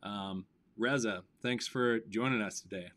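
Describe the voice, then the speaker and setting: A man hosting a weekly live-stream interview, talking casually with many pauses and fillers. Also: on the low side. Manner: relaxed, hesitant with many fillers. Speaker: host of a weekly live show.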